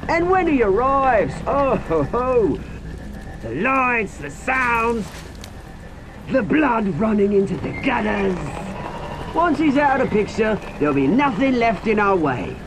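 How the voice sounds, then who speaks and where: An actor playing a vengeful, taunting British villain in a video game, theatrical and sneering. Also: male voice, high-pitched, vocal fry, background noise, exaggerated voice. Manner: theatrical, sneering, swinging into outbursts. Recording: loud music under the voice.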